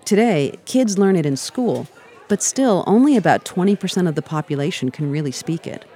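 An American woman giving scripted travel narration in a calm, reflective storytelling manner. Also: lowish voice, moderate pace, some chatter behind. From United States.

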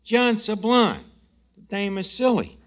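An actor playing a brash, slangy, scheming tavern manager in a 1940s radio comedy. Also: male voice, high-pitched, very animated, unhurried. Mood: sardonic. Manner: slangy, ungrammatical, mangles words. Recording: dull vintage radio broadcast recording.

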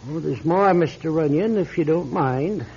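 An actor playing a polite, fussy lawyer in a classic radio drama, speaking formally and hesitantly. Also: male voice, fairly high pitch, normal speed, dark tone. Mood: formal, measured. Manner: formal, hesitant, throat-clearing. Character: polite, fussy male lawyer reading a will. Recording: dull lo-fi radio recording.

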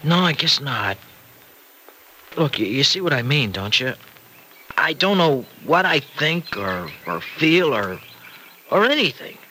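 An actor in an old-time radio drama playing a troubled, restless married farmer with a wayward past, speaking colloquially in halting, earnest confessions. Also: male voice, on the high side, sing-song, bright, forceful tone, exaggerated voice. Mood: confused, hesitant. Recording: vintage radio recording, faint noise.

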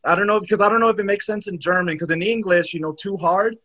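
A man's voice: high voice, quick pace, sharp tone. Laughing joking podcast co-host man. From United States. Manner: joking, laughs often, tries out German phrases. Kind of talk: casual podcast chat.

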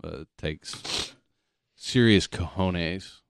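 A man's voice: deep voice, extremely slow, gravelly, clean tone, no echo, studio quality. A man co-hosting a casual chat show, talking in a loose, slangy way with plenty of swearing. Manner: profane, slangy. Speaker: podcast co-host.

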